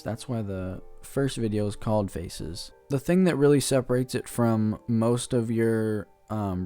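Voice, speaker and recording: A man's voice: medium pitch, warm tone, smooth. A man talking casually to viewers as a video host, explaining things in an informal, slangy, easygoing way. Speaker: YouTube reaction host.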